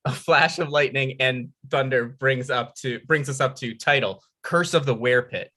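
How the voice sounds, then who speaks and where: Casting: male script narrator, medium pitch, forward bright tone, reading scene headings and action. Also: moderate pace. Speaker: narrator reading stage directions. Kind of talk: scripted narration at a table read.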